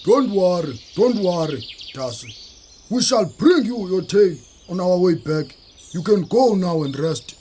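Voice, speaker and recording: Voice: mid-range voice, lively intonation, a little slow, warm tone, slight background noise, lightly acted. An actor with a South African accent playing a kindly, commanding lion who leads the animals in a children's audio play, theatrical and reassuring.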